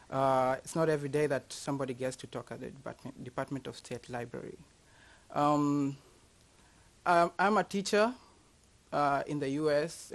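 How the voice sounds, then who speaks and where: A man from Kenya speaks with a Kenyan accent and a gravelly texture, at a mid pitch. He addresses an audience in a warm, personal way, and he jokes about himself now and then. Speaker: university teacher. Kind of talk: formal talk to an audience.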